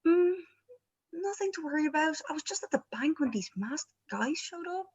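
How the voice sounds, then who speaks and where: Unflappable, breezy bandmate, played by a woman with a higher voice, for a comedy table read. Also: expressive, normal speed, highs cut off. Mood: breezy, unbothered. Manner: breezy, nonchalant. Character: cheerfully unbothered bandmate phoning from danger.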